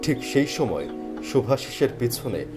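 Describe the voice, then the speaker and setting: A man's voice: mid-range voice, big pitch swings, measured pace. A man giving dramatic, scripted first-person narration of a story. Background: background music.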